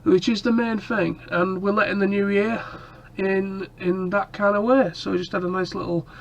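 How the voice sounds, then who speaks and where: Man with a head cold, rambling vlog chat, high voice, muffled lo-fi sound. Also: level tone, moderate pace, creaky, a little hiss or hum. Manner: rambling, lots of ums and restarts. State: stuffy nose and cough from a cold. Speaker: vlogger. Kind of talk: casual chat to camera.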